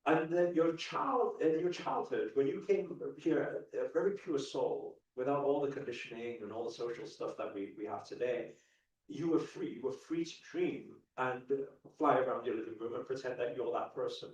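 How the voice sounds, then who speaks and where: A man's voice: mid-range voice, moderate pace, darkish tone, recorded from a distance. A young man from the UK giving a live stage talk to an audience, earnest, asking the crowd questions and often restarting his sentences. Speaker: motivational speaker. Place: large indoor event hall. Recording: echoey hall, mic heard from afar.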